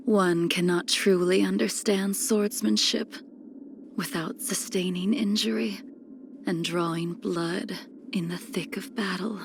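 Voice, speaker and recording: Slow, creaky-voiced cold swordswoman. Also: medium pitch, slight background noise. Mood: somber. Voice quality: breathy, near-whispered phrase endings. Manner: measured, solemn, archaic formal phrasing. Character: cold, ageless, melancholy swordswoman, a fallen master. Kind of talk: scripted video game character lines.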